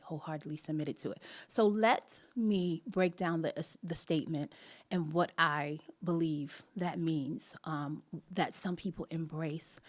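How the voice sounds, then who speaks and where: A woman's voice: fairly low pitch, darkish tone. A woman with a Jamaican accent hosting a live online talk, telling a personal story in a chatty, earnest way and often laughing between phrases. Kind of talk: personal storytelling, motivational chat.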